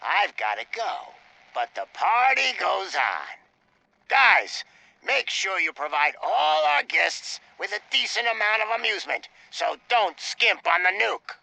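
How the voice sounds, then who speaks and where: A man's voice: high-pitched, big pitch swings, a little slow, creaky, bright, forceful tone, dry recording, full character voice, low-quality recording. An actor playing a flamboyant, mocking male gang leader with a smug, theatrical delivery.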